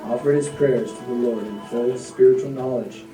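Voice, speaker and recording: A narrator of unclear sex reads a scripted devotional story in measured phrases. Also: medium pitch, steady tone, crowd noise. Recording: echoey, distant, music behind.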